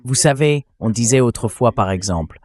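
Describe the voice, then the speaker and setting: A synthetic male voice delivering translated interview commentary in a measured, explanatory way, as a political commentator. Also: mid-range voice, lively intonation, darkish tone. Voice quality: synthetic text-to-speech voice.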